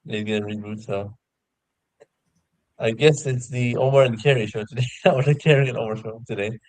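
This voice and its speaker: A man chatting casually in a halting, rambling way on a live online show. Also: mid-range voice, fairly even intonation, darkish tone, dry recording. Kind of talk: casual chat.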